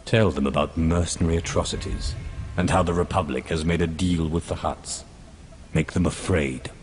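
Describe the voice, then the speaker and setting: Man acting a very deep-voiced, commanding Sith lord. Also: steady tone, normal speed, a little mellow, a little hiss or hum, natural voice. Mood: cold, calculating. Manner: curt, authoritative. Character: commanding cold male Sith lord, imperious. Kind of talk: video game cutscene acting. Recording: music behind.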